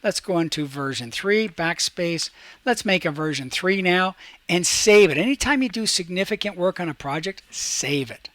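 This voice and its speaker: Male instructor, fairly high voice, very dry recording. Casual step-by-step software walkthrough for students. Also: lively intonation, moderate pace, no echo. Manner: talks through each step while demonstrating, checks in with "okay". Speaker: teacher.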